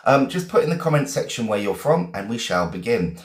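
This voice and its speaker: A man's voice: medium pitch, very animated, brisk, reverberant. A man with an English accent, a teacher greeting viewers one by one in a chatty live-stream lesson. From England, Essex.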